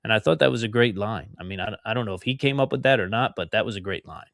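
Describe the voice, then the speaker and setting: Middle-aged guy doing a solo podcast, low voice, kinda rambly, repeats stuff for emphasis, dry sound. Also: quick pace, vocal fry, a bit bright, dry recording. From outside Philadelphia. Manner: conversational, rambling, with emphatic repetitions. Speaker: podcast host.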